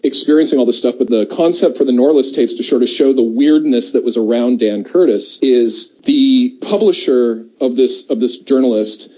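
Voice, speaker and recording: A man's voice: mid-range voice, normal speed, hardly any pauses, dark tone, low-quality recording. A middle-aged man with an American accent, chatting casually and explaining things at length as a podcast co-host. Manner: discursive, explanatory. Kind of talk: casual chat.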